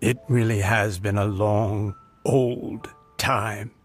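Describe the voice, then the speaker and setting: Very slow, sentimental old grandpa. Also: male voice, fairly low pitch, fairly even intonation, breathy edge, soft background music. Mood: moved, nostalgic. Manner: halting, sighing, heartfelt. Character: kindly elderly man, sentimental and softly teasing. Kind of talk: emotional game cutscene dialogue.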